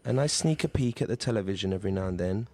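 A man's voice: on the low side, animated, very fast, dark tone. Man playing an earnest, hesitant sheltered boy. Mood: hesitant, pleading. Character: earnest, sheltered nine-year-old boy pleading with his overprotective father. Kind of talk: improvised comedy role-play.

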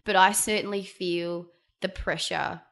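A woman's voice: lowish voice, steady tone, a little slow, creaky, a bit bright. A woman guest on a podcast speaking in a reflective, earnest way. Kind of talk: casual chat.

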